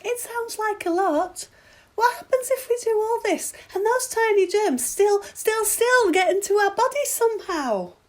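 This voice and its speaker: A woman's voice: very high-pitched, expressive, measured pace. A woman playing a curious, slightly anxious young girl asking questions in a children's story. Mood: worried.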